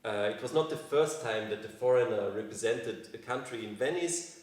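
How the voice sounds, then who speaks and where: A man in his forties with an Austrian accent, a native German speaker, talks reflectively in an interview as an artist, haltingly and often restarting his phrases. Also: medium pitch. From Vienna, Austria. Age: 40s. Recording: very echoey room, distant from microphone.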